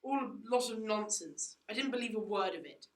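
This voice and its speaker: A teenage girl plays a haughty upper-class mother in a radio play. She is indignant and dismissive, speaking at a middling female pitch in a very echoey room. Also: animated, moderate pace, natural voice. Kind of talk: school radio play acting.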